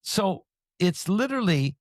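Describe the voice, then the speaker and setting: A man hosting a podcast, asking questions in a rambling, quick-paced way. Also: high-pitched, big pitch swings, no room sound, studio quality. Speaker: podcast host. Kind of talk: casual interview questions.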